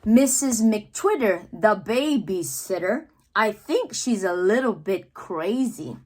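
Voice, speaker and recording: A woman's voice: mid-range voice, lively intonation, slow pace, a little mellow. A woman reads poems aloud for children in a mid-range voice. Her intonation swings widely and she takes her time over each phrase. She stresses words playfully and theatrically, like a teacher sharing a picture book. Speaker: read-aloud host, teacher-like reader. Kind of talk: expressive children's poetry read-aloud with asides.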